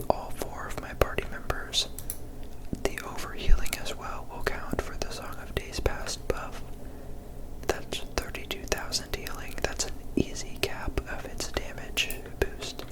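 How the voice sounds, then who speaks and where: A woman whispering casual, rambling ASMR commentary over gameplay in a soft, unvoiced voice. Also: poor audio. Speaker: gameplay video creator.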